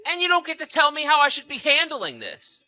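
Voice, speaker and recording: A man's voice: extremely high pitch, huge pitch swings, a little fast, sharp tone, soft background music, full character voice. A man playing a betrayed husband in an acted audio drama, bitter, sarcastic and accusatory. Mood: vengeful, bitter. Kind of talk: acted audio story dialogue and narration.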